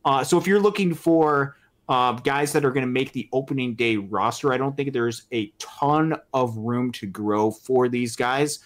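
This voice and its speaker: A man with a medium-pitched voice talks through sports as a podcast co-host. His delivery is earnest and explanatory. He lays out his points in a measured, conversational way. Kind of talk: casual sports commentary.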